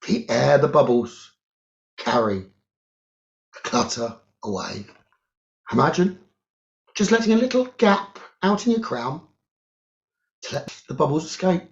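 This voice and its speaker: Slow, echoey man guiding a meditation. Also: on the high side, lively intonation, gravelly. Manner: gentle instructional phrasing with long pauses. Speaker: meditation teacher. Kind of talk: guided meditation. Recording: roomy echo, dull lo-fi sound.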